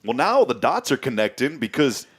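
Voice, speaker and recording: A man's voice: mid-range voice, big pitch swings, normal speed, slightly rough. A man hosting a morning talk show in a studio, chatting in a rambling way that often repeats words. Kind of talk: casual chat.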